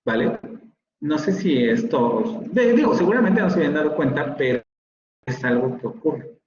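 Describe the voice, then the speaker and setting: A man's voice: a little high, expressive, moderate pace, a little mellow, slight background noise. A man with a Mexican accent, a university teacher explaining a topic to his class and often checking in with the students. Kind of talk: lecturing in an online class. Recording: roomy, dull online call audio.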